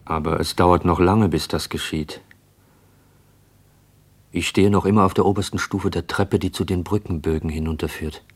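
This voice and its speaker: An actor playing a haunted, watchful narrator in a literary radio play monologue, measured and brooding. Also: male voice, low-pitched, fairly even intonation, husky. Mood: frozen dread.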